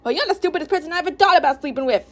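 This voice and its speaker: A woman's voice: a little high, lively intonation, fast, darkish tone. A woman voicing a sullen, argumentative man of the household in a diary story.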